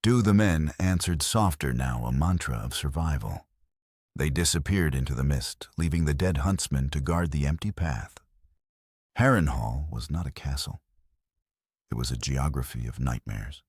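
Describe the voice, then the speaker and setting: A man giving dramatic scripted audiobook narration in a measured, solemn manner. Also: very deep voice, a little mellow, slightly rough, no room sound, clean studio sound.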